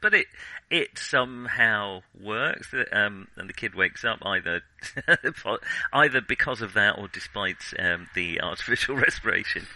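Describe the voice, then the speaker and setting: A man with a fairly low voice and a very bright, sharp edge to it. He co-hosts a film podcast, talking casually and picking his way thoughtfully through his points. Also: expressive, measured pace, few pauses, no room sound, rough recording.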